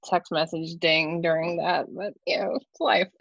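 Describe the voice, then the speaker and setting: Gravelly low-voiced woman host. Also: very animated, takes it slowly, no room sound, dull audio. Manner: chatty, laughs often, soft-spoken. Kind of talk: casual chat, opening with a guided meditation.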